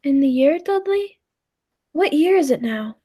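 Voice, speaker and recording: A very high-voiced young lady adventurer acted by a woman, anxious yet brave. She speaks and narrates in dramatic, literary 1940s radio drama style, as companion to an eccentric time traveller. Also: animated, unhurried, dark tone. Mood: wary.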